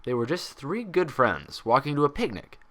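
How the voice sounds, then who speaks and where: A man reading fan fiction aloud as narrator, with dramatic, expressive scripted delivery. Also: medium pitch, normal speed.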